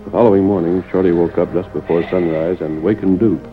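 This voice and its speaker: A man narrating an old-time radio western in a measured, formal, dramatic American voice. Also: deep voice, fairly even intonation, faint music behind, close to an everyday voice. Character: dramatic storyteller of an Old West serial. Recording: muffled old radio broadcast recording.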